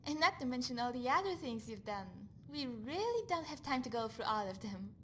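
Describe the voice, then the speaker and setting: A woman voicing a chatty, loyal female companion in a fantasy video game, bubbly, teasing and busily organising, over rumbling noise. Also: very animated, a little fast, sharp tone, exaggerated voice. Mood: teasing, excited. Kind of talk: video game character dialogue.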